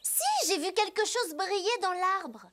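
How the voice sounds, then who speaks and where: A woman voicing a confident young girl rider in a French cartoon, assertive and expressive. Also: very high voice, unhurried, very noisy, heavily acted. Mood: excited. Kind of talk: French cartoon dub acting.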